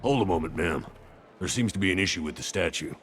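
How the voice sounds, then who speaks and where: An actor playing a dutiful security guard in a video game, formal and deferential. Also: male voice, low-pitched, expressive, quick pace, soft background music. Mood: dutiful, wary. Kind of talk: video game character acting.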